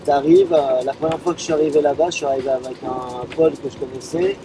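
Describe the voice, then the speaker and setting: A man who volunteers, talking in a relaxed, conversational way. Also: medium pitch, quick pace, music in the background. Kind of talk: casual chat.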